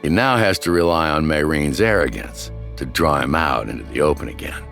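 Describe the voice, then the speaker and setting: Very deep-voiced man narrating true crime. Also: expressive, a little fast, vocal fry, a bit bright. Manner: suspenseful storytelling. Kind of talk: dramatic scripted documentary narration. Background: background music.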